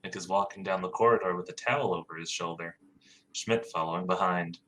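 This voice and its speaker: A man's voice: sits low, fairly quick, pushed and bright, a little hiss or hum, rough recording. A man narrating stage directions in a plain, matter-of-fact way.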